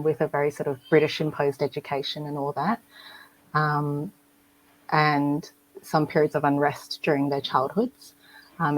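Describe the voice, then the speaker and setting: A woman from Malaysia speaking as a writer on a festival panel, thoughtful and hesitant, with many pauses and fillers. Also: deep voice, low-quality recording. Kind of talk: panel discussion.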